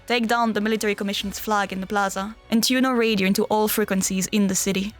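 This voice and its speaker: A woman's voice: medium pitch, fairly even intonation, quick pace, natural voice. Woman acting a composed, authoritative commander. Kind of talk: dramatic game fan-dub acting. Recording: background music under the voice.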